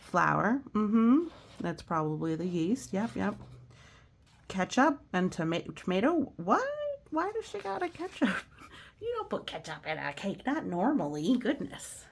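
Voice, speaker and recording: A woman with a gravelly fry in her voice walks children through a picture book at an unhurried pace. She is chatty and exclamatory, adding simple explanations, and her phrases often fall away to a near whisper. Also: mid-range voice, sing-song. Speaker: storyteller reading a picture book aloud. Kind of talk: children's read-aloud with casual explanatory asides.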